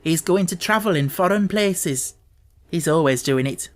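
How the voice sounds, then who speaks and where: A man's voice: a little high, a little slow, lightly acted. A man voicing a cheerful, plain-spoken young Yorkshire housemaid in an audiobook, chatting in broad, homely dialect. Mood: explaining, earnest.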